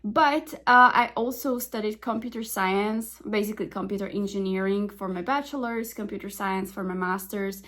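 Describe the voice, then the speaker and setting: A young woman whose first language is Slovak talks brightly and chattily to the camera. She films a casual home vlog at arm's length on her phone in her living room. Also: medium pitch, normal speed, few pauses. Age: 20s. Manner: chatty, upbeat, talking to camera. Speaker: vlogger and software engineer. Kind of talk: casual day-in-the-life vlog.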